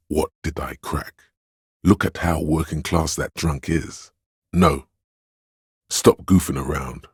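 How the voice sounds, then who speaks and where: An actor playing a stern, commanding inner voice of police authority in a video game, giving imperious, clipped orders. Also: male voice, rumbling low, breathy edge, no room sound, studio quality, exaggerated voice. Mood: insistent. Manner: imperious, clipped orders, addressing listener as recruit. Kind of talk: video game character narration.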